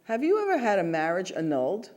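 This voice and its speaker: A woman's voice: mid-range voice, huge pitch swings, fast, darkish tone. Polite woman asking formal questions. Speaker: interviewer asking citizenship questions. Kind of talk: scripted role-play interview.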